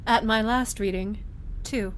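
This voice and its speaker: A woman's voice: steady tone, unhurried, close to an everyday voice. Woman playing a formal, unemotional Vulcan officer. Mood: formal, reporting. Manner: formal, precise, unemotional diction. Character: composed, formal, logical Vulcan woman starship commander. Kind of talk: science fiction fan audio drama acting. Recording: clear background noise with low rumble.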